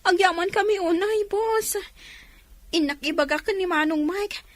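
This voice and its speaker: A woman's voice: extremely high pitch, normal speed, no echo. A woman voicing a polite, eager young worker in a comedic radio drama. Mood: grateful, anxious.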